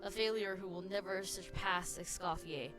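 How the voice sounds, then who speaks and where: A woman's voice: mid-range voice, normal speed, music in the background. A woman streamer giving casual live commentary on a video game and reading its text aloud.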